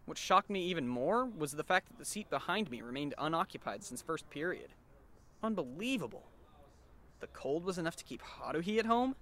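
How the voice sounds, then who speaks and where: A man's voice: on the high side, sing-song, a little fast, fairly bright tone. A man playing a sardonic, bewildered teenage schoolboy who narrates an anime fandub with dry incredulity. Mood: dry disbelief. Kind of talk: anime fandub, inner monologue and dialogue.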